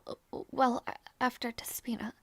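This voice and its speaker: A woman playing a gentle, earnest young princess in a romantic fantasy audio roleplay, speaking softly and hesitantly with tender affection. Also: fairly high pitch, steady tone, no echo, whispered. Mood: proud, tender. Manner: soft, hesitant, tender, halting delivery.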